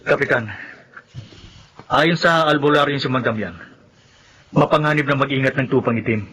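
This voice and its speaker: A man's voice: mid-range voice, normal speed, a bit bright, slight background noise, natural voice, rough recording. A man with a Filipino accent playing an earnest, stubborn young village son in a horror radio drama. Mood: worried. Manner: earnest, respectful to elders. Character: eager, stubborn young village son who keeps sheep.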